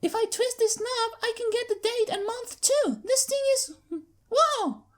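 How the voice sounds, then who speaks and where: A woman voicing an excitable young country filly with an eager, exclamatory delivery in a story reading. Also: extremely high pitch, unhurried, voice pushed up. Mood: thrilled.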